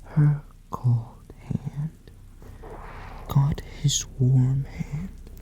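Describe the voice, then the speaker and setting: A woman tells a bedtime story in ASMR style over soft rain. Her voice is low and very mellow, hushed and whispery. She leaves long pauses between short phrases, and the sound is slightly muffled. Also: fairly even intonation.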